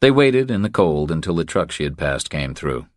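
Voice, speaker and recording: Very deep-voiced male thriller narrator. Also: big pitch swings, normal speed, no echo, clean studio sound. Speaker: audiobook narrator. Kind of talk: scripted narration of a thriller novel.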